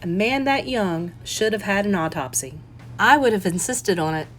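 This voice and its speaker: A woman's voice: medium pitch, expressive, normal speed, close to an everyday voice. A woman voicing an earnest, persistent and polite widow playing amateur sleuth in an audiobook, with a lilting, emphatic delivery. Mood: frustrated. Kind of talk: audiobook character voice.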